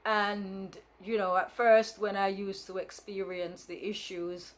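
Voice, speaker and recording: A woman's voice: medium pitch, level tone, takes it slowly. Frank woman in her fifties, rambling. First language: Jamaican Patois. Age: 50s. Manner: rambling, many fillers and restarts; frank and firm. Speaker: vlogger. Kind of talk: casual storytelling monologue.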